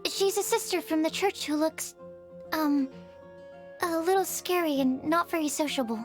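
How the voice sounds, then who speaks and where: A sweet young female alchemist's assistant from a video game. The voice is extremely high, with an earnest, polite and gently explanatory delivery. Faint music plays underneath. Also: normal speed. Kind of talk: video game character acting. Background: background music.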